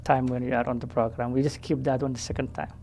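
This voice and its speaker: Very low gravelly-voiced woman host. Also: steady tone, a little mellow, slight background noise. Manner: halting, many fillers and restarts. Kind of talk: casual interview.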